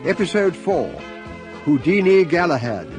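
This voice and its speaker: A man's voice: on the high side, very animated, normal speed, music in the background. A man, announcing and narrating a scripted radio comedy serial.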